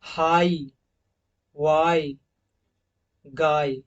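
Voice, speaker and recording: A man walks through phonetics slides in a classroom-lecture manner. He stretches out and repeats each example word at a louder, emphatic level, then drops back to quick explanations. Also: on the high side, level tone, lo-fi sound. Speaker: English teacher. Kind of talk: slide-based phonetics lesson.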